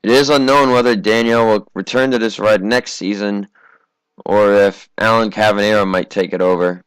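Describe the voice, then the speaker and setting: A teenage American man from Massachusetts giving casual, conversational amateur racing commentary, his voice slightly hoarse from a cough. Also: on the low side, fairly even intonation, moderate pace, fairly bright tone, dry recording, low-quality recording. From Massachusetts, United States. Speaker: race commentator.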